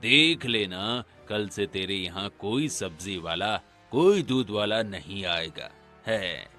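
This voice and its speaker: A man voicing a bullying village henchman in a cartoon story, making menacing, drawn-out threats. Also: fairly low pitch, animated, sharp tone, soft background music, full character voice. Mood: threatening. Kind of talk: Hindi cartoon story acting.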